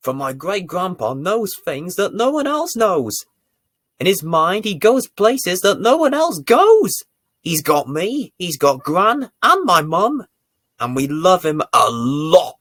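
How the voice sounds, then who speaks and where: Animated, unhurried man telling bedtime stories. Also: sits high, no room sound, clean studio sound. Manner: deliberate, theatrical emphasis with dramatic swells and hushes. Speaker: children's bedtime story presenter and reader. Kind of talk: expressive bedtime storytelling for young children.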